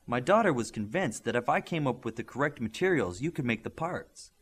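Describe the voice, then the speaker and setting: A man voicing a practical adult female scientist in an audiobook, with a measured, precise, businesslike manner. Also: medium pitch, expressive, breathy edge. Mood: quietly pleased.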